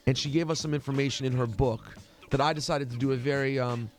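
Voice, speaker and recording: A man's voice: medium pitch, steady tone, quick pace. A man talking casually as the host of a radio show. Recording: faint music behind.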